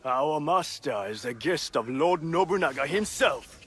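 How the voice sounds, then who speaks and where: An actor playing an arrogant, bullying samurai in a video game, haughty and taunting. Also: male voice, a little high, lively intonation, unhurried, a little hiss or hum. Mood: threatening. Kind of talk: dramatic video game acting.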